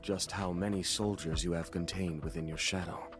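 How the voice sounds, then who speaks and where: A man playing a courteous, composed elite hunter and guild master in a video game, speaking in a formal, measured way. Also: low-pitched, fairly even intonation, natural voice. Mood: earnest. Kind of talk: scripted video game cutscene acting. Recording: music behind the voice.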